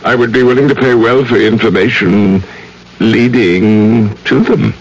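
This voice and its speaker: An actor playing a haughty, scheming heir in a radio drama, with a formal, curt way of talking. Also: male voice, lowish voice, unhurried, low-quality recording. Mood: calculating. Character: haughty, scheming male heir of a landed family.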